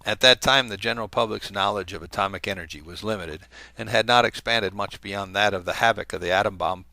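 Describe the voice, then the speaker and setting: Male channel host, low-ish voice with a forceful edge, reads a letter aloud conversationally, stumbling now and then, dry studio sound. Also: a little fast, no room sound, poor audio. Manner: conversational, with hesitant fillers and self-corrections. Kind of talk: reading a letter aloud to viewers.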